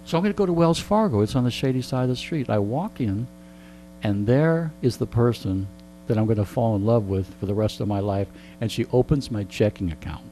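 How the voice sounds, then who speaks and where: A man's voice: medium pitch, expressive, a little fast, warm tone, poor audio. A middle-aged or older man telling casual anecdotes in a wry, joking, digressive way. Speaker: interview guest and storyteller. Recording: clear background mains hum.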